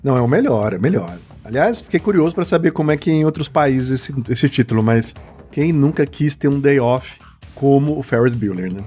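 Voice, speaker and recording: Brazilian man, podcast co-host, admiring, casual, mid pitch, faint music behind. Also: expressive, a little fast, a little mellow, husky. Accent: Brazilian Portuguese. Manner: admiring, restarts often, says "cara". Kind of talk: casual film podcast chat.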